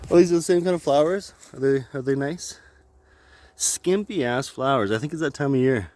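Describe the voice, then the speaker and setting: A man's voice: medium pitch, normal speed, darkish tone. A man vlogging outdoors on a walk, rambling, swearing and laughing at his own jokes. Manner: jokey, profane, laughs often.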